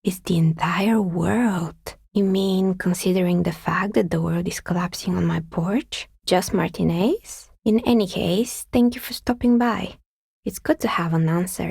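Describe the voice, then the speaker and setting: A woman playing a skeptical, world-weary artisan in a video game, with dry, sardonic and politely measured delivery. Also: mid-range voice, gravelly, a little mellow, slightly rough, dry recording. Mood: resigned, reflective. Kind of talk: video game dialogue acting.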